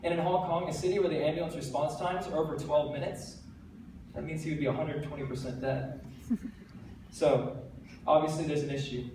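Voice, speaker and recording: A teenage man with an American accent, giving an earnest, energetic stage talk with light self-deprecating jokes. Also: a little high, fairly quick. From United States. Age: teens. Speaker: TEDx speaker. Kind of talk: stage talk to a live audience. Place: large hall. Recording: very echoey hall, voice from a distance, faint background noise.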